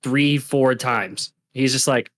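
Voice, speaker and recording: A man's voice: medium pitch, takes it slowly, fairly bright tone. A man co-hosting a casual podcast, laid-back and loose, full of likes and you-knows. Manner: laid-back, filler-heavy, says "like" and "you know". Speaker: podcast co-host.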